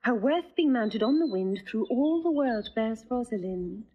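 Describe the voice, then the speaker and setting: Witty young noblewoman dressed as a lad, teasing, really lively pitch. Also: measured pace, husky, highs cut off. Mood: reading verse. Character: spirited, witty young noblewoman disguised as a youth. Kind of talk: Shakespearean stage comedy acting.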